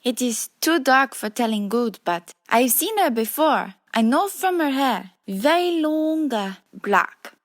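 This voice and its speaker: Hesitant young French woman, high voice. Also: expressive, measured pace, few pauses. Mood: nervous, earnest. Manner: hesitant, searching for words in broken English. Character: nervous young French immigrant woman, crime witness. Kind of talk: radio detective drama acting.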